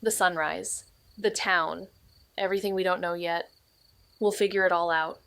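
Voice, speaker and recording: An actress playing a young woman sleuth who narrates softly and reflectively in an audio drama. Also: lowish voice, steady tone, normal speed, gravelly, dry recording. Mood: hushed reflective. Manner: soft, hushed and reflective. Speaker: first-person narrator.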